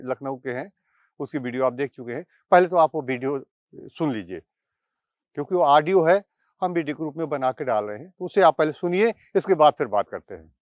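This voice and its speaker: A man, giving halting explanatory commentary to camera with deliberate pauses. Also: on the high side, no echo. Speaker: presenter. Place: outdoors by water and trees.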